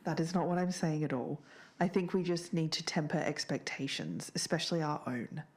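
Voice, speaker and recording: A woman playing a podcast investigator, speaking in a measured, sceptical and deliberate way as she narrates and discusses. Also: sits low, a little mellow, lightly acted. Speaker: podcast co-host.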